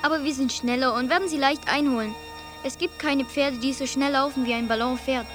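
A woman's voice: sits high, fairly quick, close to an everyday voice. A woman playing a confident boy who acts as a commanding, decisive balloon adventurer in a radio play. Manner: decisive, dramatic. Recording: loud music behind the voice.

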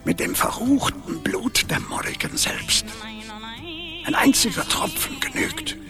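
An actress playing a solemn, mysterious guide in a radio play, speaking in a portentous, breathy half-whisper. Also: female voice, high-pitched, expressive, measured pace. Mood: solemn, ominous. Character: mysterious, solemn wise guide warning young heroes. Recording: music under the voice.

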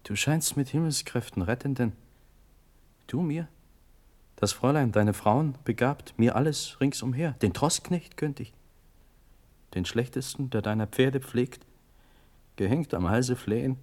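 A man's voice: medium pitch, measured pace, pauses often, a little mellow, natural voice. An actor playing a desperate young prince begging for his life, in fervent, declamatory classical radio-drama verse. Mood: desperate pleading.

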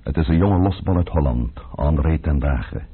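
An actor playing a grave, ominous letter writer in a radio play, speaking in measured phrases with a gravelly, husky voice. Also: male voice, rumbling low, lively intonation, full character voice. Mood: scheming. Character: grave male letter writer warning of revolution and plotting. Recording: dull lo-fi old radio recording. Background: faint music.

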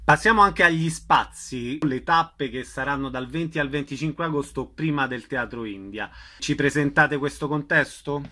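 A man's voice: a little high, normal speed, few pauses, sharp tone. A male radio host who guides the interview with brief questions.

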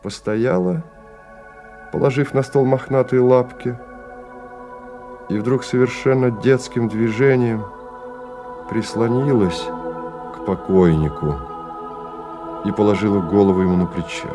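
Man doing a grave radio drama narrator, really deep voice, measured and dramatic, bleak sci-fi story. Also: steady tone, over music, natural voice. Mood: storytelling. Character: male storyteller, grave literary narrator of a bleak science-fiction tale.

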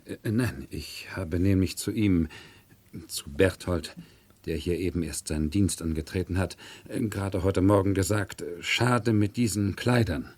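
Radio drama for a deferential, uneasy male retainer: low register, slightly rough tone, hesitant and respectful. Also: a little slow, darkish tone, no room sound. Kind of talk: 1970s German radio play acting.

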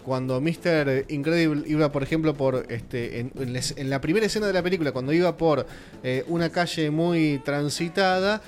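A man's voice: fairly high pitch, moderate pace, faint music behind. A man with an Argentine Spanish accent, co-hosting a casual radio panel and explaining at length with many fillers. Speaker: radio show host.

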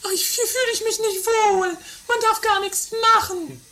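A woman's voice: very high voice, fairly even intonation, normal speed, creaky, bright, forceful tone, exaggerated voice. A woman playing a clumsy, unrepentant sister in a comedy radio play, whiny, naive and dramatic. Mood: whiny, offended. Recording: very noisy lo-fi audio with clicks.

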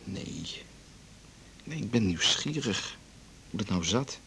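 An actor playing a probing, practical and slightly sardonic husband in a radio drama, sometimes halting. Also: male voice, lowish voice, very animated, vocal fry, a bit bright, slight background noise, exaggerated voice. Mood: guarded, probing.

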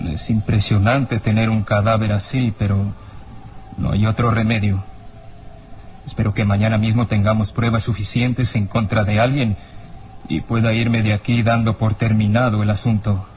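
An actor playing a serious, worried police inspector in a radio serial, with a sober, brooding, formal manner. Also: male voice, lowish voice, level tone, normal speed, a little mellow, slight background noise, natural voice. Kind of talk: radio serial drama acting.

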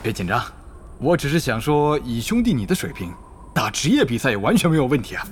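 男演员饰演多疑而沉稳的公会会长. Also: male voice, mid-range voice, sing-song, a little fast, slight background noise, close to an everyday voice. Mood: politely probing. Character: wary, calculating male online game guild leader. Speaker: voice actor. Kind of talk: Chinese audio drama acting.

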